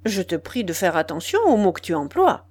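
A woman's voice: fairly low pitch, sing-song, brisk, darkish tone. A woman reading an audiobook plays a cynical, domineering man, speaking in a curt, haughty, commanding manner. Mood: stern warning. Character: cynical, cold, domineering man leaving his mistress. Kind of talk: dramatised audiobook dialogue.

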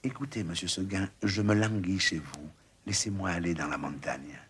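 A man voicing a wistful, stubborn young female goat in a soft, half-whispered, husky voice. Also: low voice, moderate pace, heavily acted. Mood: pleading.

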